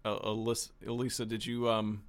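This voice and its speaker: A man's voice: fairly low pitch, level tone, creaky. A man from the show's team putting easygoing questions to the cast. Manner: easygoing, a bit halting. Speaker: producer and interviewer. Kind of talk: casual interview questions.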